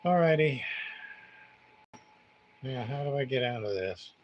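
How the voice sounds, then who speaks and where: Slow-paced man muttering over clear background noise. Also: mid-range voice, expressive, muffled recording. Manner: muttering to himself, hesitant, thinking aloud. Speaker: livestream host, CB radio repairer. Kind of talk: casual rambling product demonstration on a livestream.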